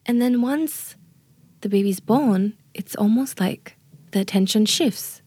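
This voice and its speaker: A woman's voice: mid-range voice, a little slow, a little mellow, slightly rough, no room sound. A woman working as a doula, explaining birth in an earnest interview manner and often checking in with "right?" and "you know". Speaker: doula, guest.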